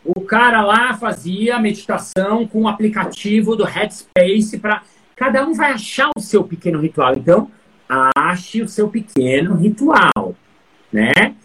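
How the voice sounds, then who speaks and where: A man's voice: high-pitched, unhurried, poor audio. A middle-aged man who coaches public speaking, talking casually in an interview and telling stories with lots of fillers and restarts. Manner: storytelling, many fillers and restarts, animated examples.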